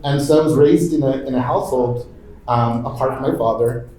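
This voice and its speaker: A man moderating a panel and then sharing his own story in loose, halting talk full of fillers and restarts. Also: mid-range voice, mellow, echoey room, poor audio. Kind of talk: casual conference talk. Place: conference room.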